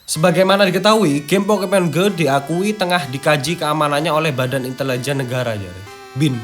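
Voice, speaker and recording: A man's voice: a little high, a little fast, fairly bright tone. A young man with an East Javanese accent hosts casually, mixing read-out script with informal, slangy asides. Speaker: host. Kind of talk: casual chat mixed with reading from a script. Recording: music playing behind the voice. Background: background music.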